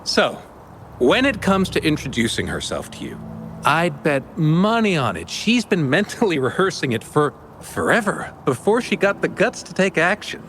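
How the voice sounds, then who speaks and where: An actor voicing a friendly, easygoing merchant in a video game, teasing and lively. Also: male voice, a little high, normal speed, a little hiss or hum.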